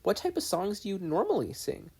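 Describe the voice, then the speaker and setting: Narrator putting on a storybook teen girl, higher pitch, bubbly, bossy, eager. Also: huge pitch swings, brisk, gravelly, a little mellow, husky, exaggerated voice.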